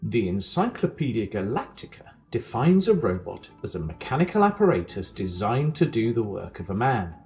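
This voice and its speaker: An actor playing a dry, wry narrator of a galactic guidebook, reading scripted comedy. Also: male voice, lowish voice, sing-song, normal speed, hardly any pauses, a little mellow. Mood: dry, mock-official. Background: music.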